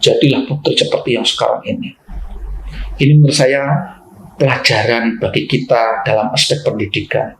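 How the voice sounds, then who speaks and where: A man's voice: mid-range voice, steady tone, normal speed, creaky, a bit bright. A man giving unscripted opinion commentary in a didactic, emphatic way, repeating phrases and posing rhetorical questions. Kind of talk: unscripted opinion commentary in an explanatory, lecturing style. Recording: roomy, echoing room sound.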